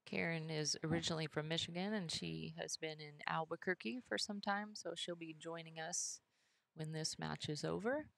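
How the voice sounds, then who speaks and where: Low, gravelly woman calling bowling. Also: normal speed, no echo, poor audio. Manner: steady, dry, teasing. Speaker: commentator. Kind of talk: live bowling play-by-play. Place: commentary booth at a bowling center.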